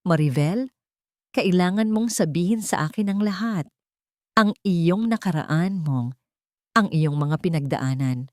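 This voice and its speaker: A woman voicing a sharp, principled male lawyer who speaks in a serious, probing and determined way. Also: fairly low pitch, animated, unhurried, dry recording, studio quality.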